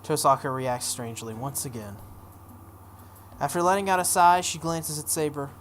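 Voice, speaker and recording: Expressive visual novel reader, noisy recording. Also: mid-range voice, normal speed. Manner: acts out dialogue, breaks off for offhand comments. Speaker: playthrough host reading a visual novel aloud. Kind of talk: dramatic reading with casual asides.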